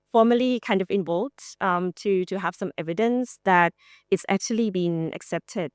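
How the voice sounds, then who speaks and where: Female academic moderator, lower register, dry recording, unscripted seminar talk. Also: clear, resonant tone, dry recording. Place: seminar room.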